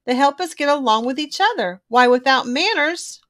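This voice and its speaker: A woman voicing a firm, sensible mother bear in a children's storybook, instructive and measured. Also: sits high, expressive, a bit bright. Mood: firm, patient. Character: mother bear, firm, sensible, patiently correcting her family.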